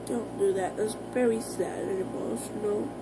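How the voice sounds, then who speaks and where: An American woman talking casually to her viewers in an informal, halting, rambling vlog style. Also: medium pitch, fairly even intonation, over loud music, poor audio. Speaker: vlogger.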